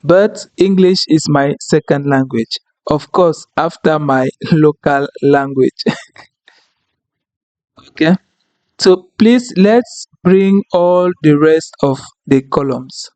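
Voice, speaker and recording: A higher-pitched man whose first language is French, explaining a data tool step by step at an unhurried pace. He keeps asking "okay?" and "right?" as he goes, and his voice sounds close and dry, with no room echo. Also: darkish tone. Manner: explanatory, frequent "okay?" and "right?" check-ins. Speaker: tutorial instructor. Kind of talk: screen-recorded software tutorial.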